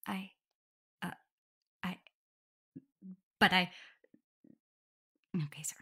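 A woman's voice: on the low side, fairly even intonation, very slow, heavily acted. A woman playing a shy, caring, self-doubting young werewolf in an intimate ASMR roleplay, speaking hesitantly. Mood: flustered. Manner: hesitant, stammering, soft, breathy whispers at times.

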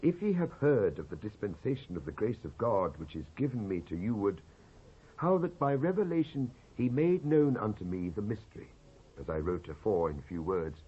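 A British man giving a solemn, declamatory dramatised scripture reading in measured phrases with pauses. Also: fairly low pitch, big pitch swings, husky. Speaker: Bible reader, actor. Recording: dull lo-fi cassette transfer.

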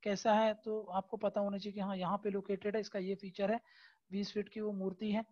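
A male teacher talks through an online lesson in a patient, explanatory way, pausing on fillers and repeatedly asking whether the point is clear. The recording sounds somewhat dull and lo-fi. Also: high voice, little pitch movement, darkish tone. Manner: keeps checking understanding with tag questions; frequent hesitation fillers, explanatory and repetitive. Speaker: exam-prep teacher. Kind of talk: online lecture over a screen recording.

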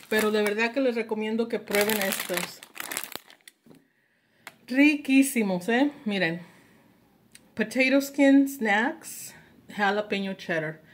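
A woman chats casually through a product review as a vlogger. She takes her time, speaking slowly at an average pitch, and slips English words into her sentences. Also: a little hiss or hum. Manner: mixes English words into Spanish. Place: indoors at a table at home.

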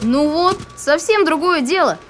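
A woman voicing a sensible, polite young boy in an animated cartoon. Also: sits high, measured pace. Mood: cheerful, busy. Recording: music under the voice.

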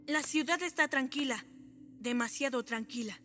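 A woman plays a young boy superhero from a children's cartoon, with a very high voice and a Latin American accent. He is earnest and takes command of his team. Loud music plays behind him. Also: moderate pace, close to an everyday voice. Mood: determined.

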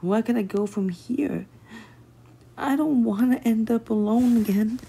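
A woman voicing an excitable, anxious, childlike gem playmate in an amateur cartoon comic dub, stammering and slangy. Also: fairly high pitch, a little slow, creaky, husky, exaggerated voice. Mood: panicked, anxious.

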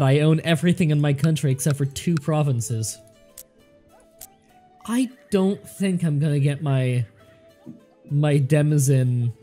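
A man's voice: on the high side, mellow. Nasal, chatty man narrating a strategy game. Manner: chatty, thinks aloud, talks to game characters. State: more nasal than usual, stuffed up. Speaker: let's play commentator. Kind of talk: casual gameplay commentary.

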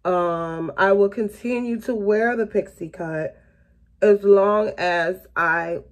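A woman's voice: medium pitch, slow. A woman in her late thirties with a Southern US accent, chatting casually to viewers while doing her makeup in a small bedroom. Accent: Southern US (Florida). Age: late 30s. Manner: chatty, rambling, laughs often, addresses viewers as you guys. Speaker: beauty vlogger. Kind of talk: casual chatty get-ready-with-me vlog. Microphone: camera in front at close range.

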